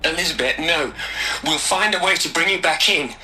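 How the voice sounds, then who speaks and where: An actor playing a grave, earnest scientist in a video game audio log, formal and precise. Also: male voice, fairly high pitch, moderate pace, bright, forceful tone. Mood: pleading.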